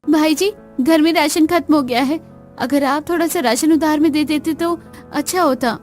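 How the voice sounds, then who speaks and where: A woman's voice: high voice, moderate pace, faint music behind, close to an everyday voice. A woman voicing a poor, earnest young daughter-in-law in a cartoon story, polite, pleading and determined.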